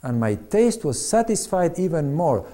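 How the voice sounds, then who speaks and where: A man reads a prepared talk on eating well. His voice sits a little high for a man, but it is mellow and warm in tone. He speaks in a steady, instructive manner. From Argentina. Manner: measured, instructive. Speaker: doctor giving diet advice.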